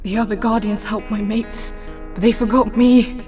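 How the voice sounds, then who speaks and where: Woman as a pleading lost soul, evenly pitched. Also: steady tone, normal speed, a little mellow, lightly acted. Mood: desperate pleading. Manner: imploring, reverent. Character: pleading, forlorn soul of an adult female fish creature, tiny. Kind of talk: scripted fantasy audio story. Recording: music under the voice.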